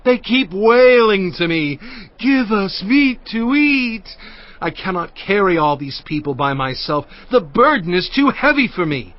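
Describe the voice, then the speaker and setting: Anguished prophet, high voice, sweeping intonation, pleading, declamatory, audio Bible drama. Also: male voice, big pitch swings, unhurried. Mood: anguished, despairing. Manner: impassioned, declamatory, emphatic delivery. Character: Moses, anguished, pleading male prophet and leader. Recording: dull lo-fi sound with music.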